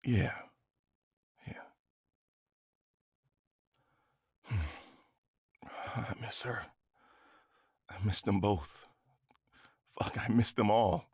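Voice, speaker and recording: An actor playing a gentle, grieving young man with casual, dry humor in a horror audio drama. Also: on the low side, drawn-out words, pauses often, slightly rough, no echo, full character voice. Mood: sad, gentle. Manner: casual, wry.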